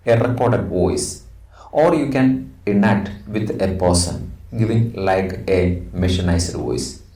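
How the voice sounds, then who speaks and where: Male teacher, Indian accent, slow and animated delivery, didactic, recorded from a little distance indoors. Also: lowish voice. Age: middle-aged. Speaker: English teacher. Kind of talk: lesson, reading a script aloud and explaining it. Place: indoor room. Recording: roomy echo, distant microphone.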